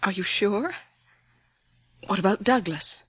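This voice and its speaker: A woman's voice: mid-range voice, slow, breathy edge, no echo. An actress playing the anxious, worried wife of a troubled man in a radio drama. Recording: dry, dull old radio recording.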